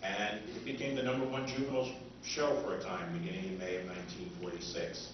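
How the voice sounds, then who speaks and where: A man giving an informal seminar talk as a speaker, halting and pausing often. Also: fairly low pitch, level tone, far from the mic. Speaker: seminar speaker, old-time radio author. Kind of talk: informal public lecture. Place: indoor convention seminar room. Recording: muffled, noisy, echoey room from afar.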